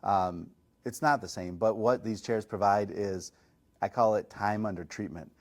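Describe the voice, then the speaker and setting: A middle-aged man, a chiropractor, answering interview questions in a relaxed, self-deprecating, chatty way. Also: on the low side, normal speed. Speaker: chiropractor and massage chair reviewer. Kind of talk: casual podcast interview answers.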